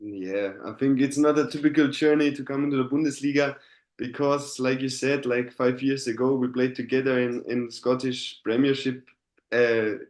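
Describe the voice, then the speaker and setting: A man's voice: medium pitch, fairly even intonation, moderate pace, a little mellow. A young man in his twenties with a German accent, a footballer speaking hesitant non-native English with many fillers and restarts in a casual interview. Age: 20s. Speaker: professional footballer, guest. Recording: roomy echo.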